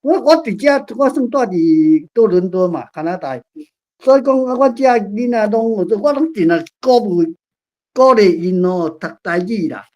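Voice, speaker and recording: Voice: medium pitch, animated, normal speed, darkish tone, dull audio. An elderly speaker in their eighties with a Taiwanese accent, sharing casually in a group discussion in short fragments full of tag particles. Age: 80s. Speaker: participant in an online play-reading forum.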